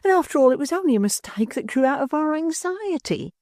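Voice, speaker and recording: A man's voice: very high voice, very animated, a little fast, a little mellow, no room sound, studio quality, heavily acted. A man voicing a timid, tearful little wife in an audiobook, pleading between sobs. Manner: pleading and sobbing. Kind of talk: audiobook character voice.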